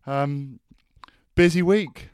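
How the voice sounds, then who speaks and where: A man's voice: fairly high pitch, extremely slow, a little mellow, clean tone, no echo, studio quality. A man with a Welsh accent, co-hosting a podcast in casual, joking banter. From Wales. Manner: joking banter, laughs often. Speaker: podcast co-host. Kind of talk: casual chat.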